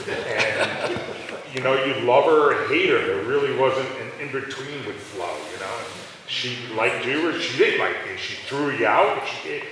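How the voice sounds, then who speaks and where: A man's voice: normal speed, a crowd in the background. A middle-aged man, telling rambling stories with restarts during a live onstage interview. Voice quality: deep voice. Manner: rambling storytelling with restarts and laughs. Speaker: restaurant owner. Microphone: handheld mic that cut out, picked up from a distance. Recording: echoey hall from far off, faint noise.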